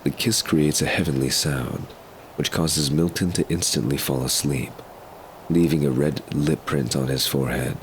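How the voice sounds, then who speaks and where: A man's voice: very deep voice, steady tone, normal speed. A man reading scripted narration for an audio drama. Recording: clear background ambience noise.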